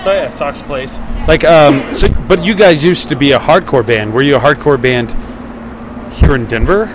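A man's voice: a little high, normal speed, noisy background. A man of thirty hosting a podcast, asking questions in a loose, chatty, joking way. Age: 30s. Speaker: podcast host. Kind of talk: casual interview chat.

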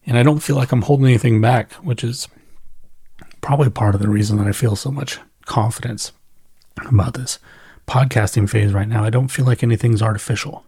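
A man's voice: mid-range voice, a little fast, darkish tone, husky, no room sound, clean studio sound. A middle-aged man hosting a solo podcast, talking casually and reflectively as he thinks aloud and corrects himself along the way. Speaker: podcast host.

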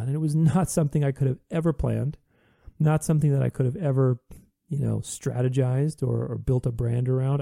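A man's voice: medium pitch, creaky, warm tone, no echo, clean studio sound. A man hosting a podcast, talking earnestly in a reflective solo monologue, with many restarts. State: recovering from voice loss.

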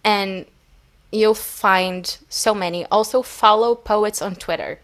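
A woman's voice: medium pitch, takes it slowly, fairly bright tone. A young woman from Brazil, a native Portuguese speaker, chatting casually as a podcast co-host, rambling with many fillers and restarts. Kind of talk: casual chat.

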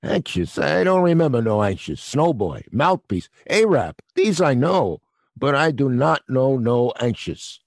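A man playing a gruff New night watchman. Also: medium pitch, very animated, a little slow, darkish tone, no room sound, clean studio sound. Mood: wry. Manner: gruff, blunt, working-class New York speech. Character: gruff middle-aged male theater night watchman. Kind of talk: audio drama acting.

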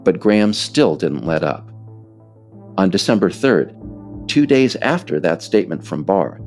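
An American man reading scripted narration as the author of a book, in a measured, emphatic storytelling manner. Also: low voice, expressive, a little mellow, faint music behind.

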